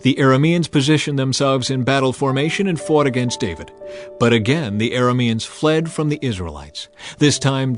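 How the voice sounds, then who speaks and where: A man narrates an ancient sacred story in a measured, solemn storytelling style. His voice sits at a medium pitch for a man. Music plays faintly underneath the reading. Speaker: audiobook narrator. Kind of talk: dramatized scripted narration of scripture.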